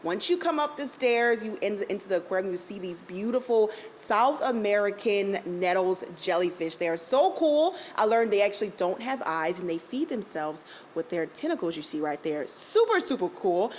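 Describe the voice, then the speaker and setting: A bubbly young woman with a New lilt reports live on location into a handheld mic. She talks in a chatty, upbeat way, with a medium-pitched voice. A faint hum sits under the indoor exhibit sound. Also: sing-song, moderate pace, hardly any pauses. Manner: bubbly, chatty, laughs often. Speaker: field reporter. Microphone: handheld station microphone.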